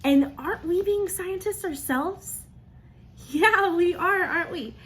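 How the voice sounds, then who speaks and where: A woman's voice: very high-pitched, a little slow. A woman teaches young children step by step, asking questions and encouraging them as she goes. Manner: encouraging, asks questions and praises listeners as if they answer; simple step-by-step explaining. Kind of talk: interactive children's lesson, talking to camera.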